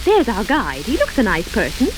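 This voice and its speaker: Lilting, high-voiced wife, crackly radio. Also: female voice, wildly swooping pitch, normal speed. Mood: patient coaxing. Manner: coaxing, gently placating. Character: pleasant, tactful middle-aged wife, patiently managing husband. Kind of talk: old-time radio comedy acting. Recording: hissy, crackly vintage radio recording.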